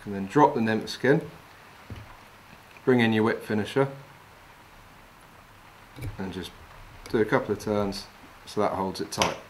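A middle-aged man from England calmly talking through each step of tying a fishing fly while he works with his hands. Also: on the low side, measured pace, long pauses, a little hiss or hum, low-quality recording. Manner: calm, practical, talks while working with his hands. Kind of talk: step-by-step demonstration. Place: wooden lodge room, indoors.

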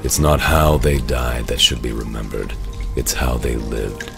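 Grim masked vigilante father: extremely low, creaky growl, stern and solemn, comic-book drama. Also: a little slow, music in the background, heavily acted. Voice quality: very deep gravelly growl.

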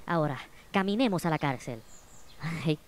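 A woman's voice: sits low, lively intonation, moderate pace, slight background noise. A woman with a Puerto Rican accent voicing a stern, righteous robot-ant heroine who gives commands in a cartoon. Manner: declarative, commanding. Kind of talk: cartoon voice acting. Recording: loud music under the voice.

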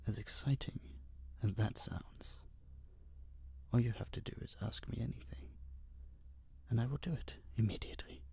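A man playing a possessive, obsessive masked kidnapper in an intimate roleplay audio drama, coaxing and menacing in a breathy, gravelly, teasing whisper. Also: fairly low pitch, warm tone, no room sound, full character voice. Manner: slow, dominant, cooing and teasing.

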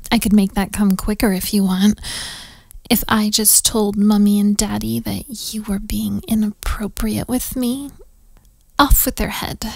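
Bratty princess, twenties, female actor, playful and flirty, very slow delivery, close dry sound, intimate audio roleplay. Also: mid-range voice, creaky, no echo. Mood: teasing. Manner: teasing, giggly, casual modern phrasing, dropping into whispers.

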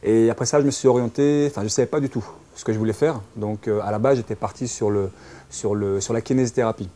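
A man's voice: lowish voice, fairly even intonation, fast talker, warm tone. A man in his early thirties from Belgium, speaking French with a Belgian accent, telling his own story conversationally in an interview and often restarting with filler words. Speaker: interviewee, dance teacher and social worker. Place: small furnished lounge indoors.

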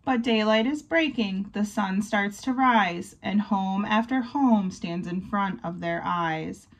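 A woman in her twenties reading a children's picture book aloud with expressive, deliberate storytelling. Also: medium pitch. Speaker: volunteer storybook reader. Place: small bedroom, indoors.

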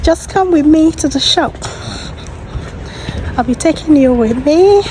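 A woman in her thirties with a West African accent and a hoarse voice from a sore throat, chatting to viewers as she walks outdoors, filming on a handheld phone. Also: sits high, lively intonation, a little slow, dark tone. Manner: chatty, addressing viewers while walking. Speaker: vlogger. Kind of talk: casual walking vlog. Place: outdoors on a sunny suburban street. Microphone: phone held at arm's length. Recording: outdoor street noise with cars.

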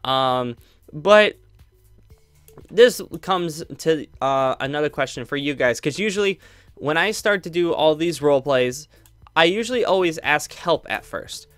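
A man's voice: fairly high pitch, expressive, a little slow, fairly bright tone, smooth, no echo. Rambling young man with faint music. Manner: rambling, lots of fillers and restarts. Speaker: YouTube roleplay creator. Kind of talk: casual talk to viewers.

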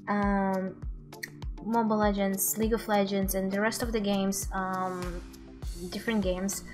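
Slow, halting young woman reacting casually. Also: medium pitch. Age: 20s. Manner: hesitant, halting phrasing. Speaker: streamer reacting to game videos. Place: small room at home.

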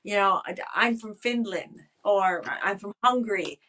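A woman in her fifties, a political scientist, talking thoughtfully over a video call from a room at home, often pausing to restart her phrases. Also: mid-range voice, a bit bright. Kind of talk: casual video call interview. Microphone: video call webcam.

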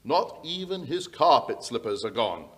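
A man's voice: medium pitch, big pitch swings, normal speed, close to an everyday voice. A middle-aged man playing a worried, polite aristocratic landowner, telling his tale hesitantly in old-time radio style. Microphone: shared stage microphone.

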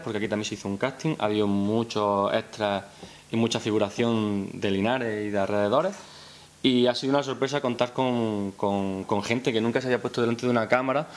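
A man, presenting informally as a film director at a press conference, repeating words as he thinks. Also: fairly low pitch. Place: press room at a town hall, seated at a table. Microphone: gooseneck table microphone.

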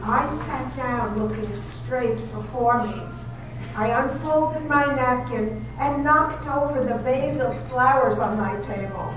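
A woman's voice: fairly high pitch, slow pace, fairly bright tone. A woman playing a timid, polite young lady's companion in a 1930s style radio drama. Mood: wistful. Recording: very echoey hall, distant, noisy.

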